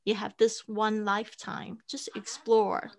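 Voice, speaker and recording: Slow, gravelly-voiced woman, Mandarin native. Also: mid-range voice, flat delivery. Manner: unhurried, with frequent fillers and restarts. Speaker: guest, online teacher and podcaster. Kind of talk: casual interview conversation.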